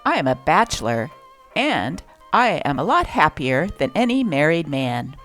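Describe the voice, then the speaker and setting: A woman hosting a show that reads aloud from old newspapers, with an expressive, varied delivery. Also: measured pace, soft background music. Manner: expressive reading with lively pitch. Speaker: channel host reading old newspapers.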